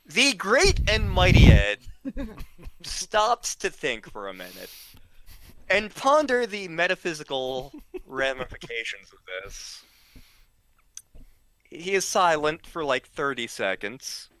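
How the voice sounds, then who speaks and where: A man with a bright, slightly high voice tells funny stories in a casual, rambling way. His delivery is halting and giggly, unhurried overall and lively in pitch. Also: no echo, poor audio. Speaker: storyteller, regular of the show. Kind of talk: casual humorous anecdote telling.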